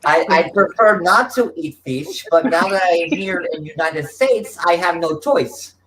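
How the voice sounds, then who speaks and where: A performer voicing a crude, brazen immigrant woman in improvised comedy, dropped articles and a halting, clenched delivery. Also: medium pitch, expressive, a bit bright, slight background noise, lightly acted, poor audio. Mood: resigned, matter-of-fact. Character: crude immigrant woman with broken-English foreign accent, brazen. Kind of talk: improvised comic impersonation.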